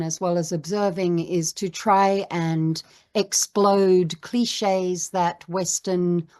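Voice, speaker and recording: A middle-aged woman with a fairly low voice, speaking as a documentary filmmaker in an interview. She answers in a reflective, measured way, pausing often as she gathers her thoughts. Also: darkish tone. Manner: reflective, measured, with frequent pauses.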